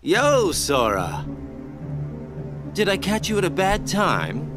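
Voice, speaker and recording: A man's voice: sits high, wildly swooping pitch, slow, exaggerated voice. A man playing a cocky, sly assassin in a video game, with a flippant, teasing manner. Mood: mocking menace. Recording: loud music behind the voice.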